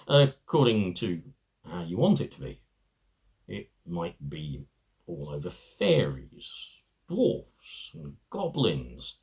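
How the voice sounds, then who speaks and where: A middle-aged man reading a children's story aloud, halting and emphatic, with small stumbles. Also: lowish voice. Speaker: amateur storybook reader.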